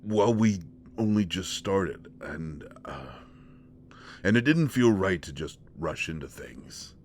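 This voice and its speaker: Man acting a deep-voiced, stammering, bashful boyfriend. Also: sing-song, takes it slowly, a little mellow, full character voice. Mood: flustered, tender. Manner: stammering, hesitant, earnest. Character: caring, bashful boyfriend, earnest and protective. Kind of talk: audio roleplay acting, intimate one-sided dialogue. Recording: faint background noise.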